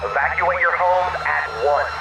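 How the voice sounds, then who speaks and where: An actor playing a stern military announcer in a radio drama, repeating official orders slowly and deliberately. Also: male voice, on the high side, vocal fry. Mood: official, urgent. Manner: slow, deliberate, repeating his phrases. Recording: echoing distant loudspeaker, music behind.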